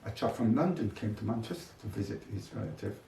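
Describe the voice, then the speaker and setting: A man's voice: on the low side, lively intonation, fast, vocal fry, dark tone. An older man being interviewed, talking reflectively about his experiences in his own words. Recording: echoey, distant mic, faint noise.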